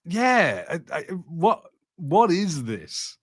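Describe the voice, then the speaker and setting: A man's voice: on the high side, huge pitch swings, slow pace, dry recording. Blunt man co-hosting, close dry sound. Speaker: podcast co-host. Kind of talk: casual review chat.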